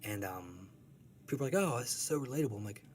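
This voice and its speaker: A young man of middle pitch chats loosely about his work as a cartoonist, wandering through stories with plenty of "like" and "I'm like." His tone is self-deprecating and relaxed, with a faint hum of room ambience behind him. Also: quick pace. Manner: rambling, self-deprecating storytelling. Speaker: comic strip creator, guest. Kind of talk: casual interview talk.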